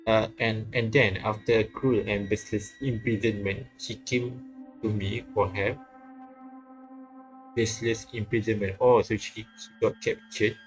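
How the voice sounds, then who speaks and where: Flat, low-voiced man reading a game aloud. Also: rough recording. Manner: halting, repeats phrases, puzzles aloud. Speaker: streamer reading a visual novel. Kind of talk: reading game text aloud with casual commentary. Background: game music faintly behind.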